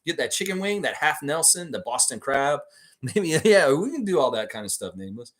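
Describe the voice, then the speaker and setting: A man hosting a casual live stream about basketball, reading viewer comments aloud and reacting with laughs and sudden loud, high bursts. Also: moderate pace. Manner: animated, laughs often, swings loud and high when joking. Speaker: sports podcast host reading live chat.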